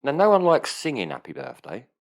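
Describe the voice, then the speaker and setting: A man in his thirties co-hosts a casual podcast, his pitch bouncing around a lot as he rambles. He swears freely and keeps asking rhetorical questions. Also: huge pitch swings, a little fast. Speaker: podcast co-host. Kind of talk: casual banter.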